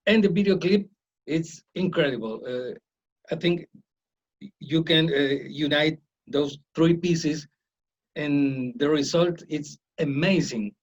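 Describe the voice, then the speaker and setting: Man, radio host, very slow, hesitant, English, muffled lo-fi sound. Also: on the high side. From Argentina. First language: Spanish. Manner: hesitant, halting English with many "uh"s. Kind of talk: radio interview questions.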